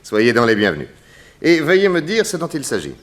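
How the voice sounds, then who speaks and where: An actor playing a courteous, composed private detective with a polite, measured, suave manner, in 1960s French radio drama style. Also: male voice, mid-range voice, huge pitch swings.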